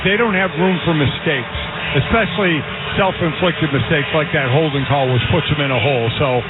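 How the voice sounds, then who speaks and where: A man's voice: on the high side, moderate pace, phone-quality sound, over loud music. An American man giving conversational, opinionated color analysis during a live football broadcast. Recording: thin radio broadcast, loud stadium noise.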